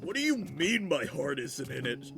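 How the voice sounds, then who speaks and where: A whiny, nosy village fellow in a fantasy audio play. The voice sits high and swoops around with a creaky edge, dragging words out as he wheedles and gets defensive. Music plays softly behind him. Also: big pitch swings. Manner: hesitant, drawn-out, wheedling and defensive. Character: nosy, dim, whiny village man, Manny, large Hylian. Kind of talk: fantasy audio drama acting.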